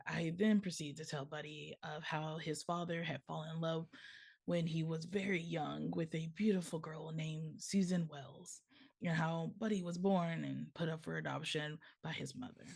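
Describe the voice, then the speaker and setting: A man's voice: fairly high pitch, gravelly. A man playing a kindly, concerned elderly elf father in a live table read of a comedy film.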